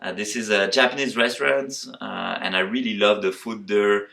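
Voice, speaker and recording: A man in his forties with a French accent, answering quick questions on a tour of his company's office, with many fillers and restarts. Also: lowish voice, steady tone, gravelly, pushed and bright. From France. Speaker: company co-founder. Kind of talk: rapid-fire walking interview. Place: company office in Paris, indoors and terrace.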